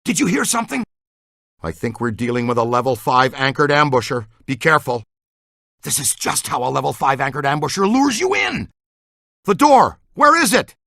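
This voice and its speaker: Eager, animated ghost hunter. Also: male voice, a little high, moderate pace, gravelly, no room sound, studio quality, full character voice. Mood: urgent, alarmed. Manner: earnest, technical jargon, urgent call-outs. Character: eager, talkative ghost-hunting man, earnest and excitable. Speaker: video game voice actor. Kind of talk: video game character dialogue.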